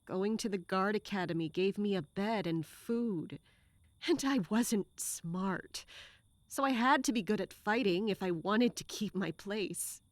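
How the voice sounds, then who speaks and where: Weary street-raised woman guard, played by an actress, bitter, slow delivery, close dry sound, audio drama. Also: medium pitch, dry recording, close to an everyday voice. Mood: defensive, weary. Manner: slow, downcast, self-reproaching.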